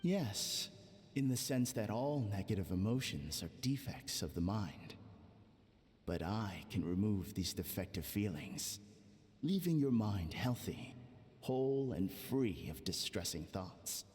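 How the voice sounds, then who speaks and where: A mystical guru in an anime game, his lowish voice wrapped in long reverb. He speaks formally, solemn and sure of himself, with wide, sweeping intonation. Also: animated, unhurried, a little mellow. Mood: solemn, assured. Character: solemn, soothing male spiritual guru, self-assured.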